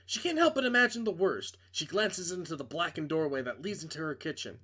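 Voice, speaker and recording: A man's voice: a little high, animated, fairly quick, bright, forceful tone. A man reading a visual novel aloud as dramatic narration, with casual asides when he stumbles and corrects himself.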